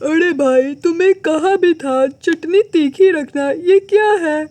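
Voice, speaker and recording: A woman's voice: very high-pitched, takes it slowly, darkish tone, no echo. A woman voicing a rude, demanding, complaining man, a bossy customer in a cartoon moral story. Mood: annoyed, scornful. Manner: scornful, bossy.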